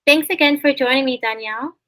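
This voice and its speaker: Canadian woman hosting a podcast, high bright voice, chatty, lots of "like" and "you know". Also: brisk. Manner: friendly, chatty, uses fillers like "you know". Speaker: podcast host. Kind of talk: interview hosting.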